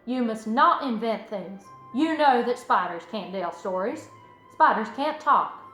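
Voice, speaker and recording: A woman voicing a stern, worried, sensible farm mother in a storybook, firm and measured. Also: fairly high pitch, very animated, a bit bright. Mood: stern, reproving. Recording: roomy echo, music underneath.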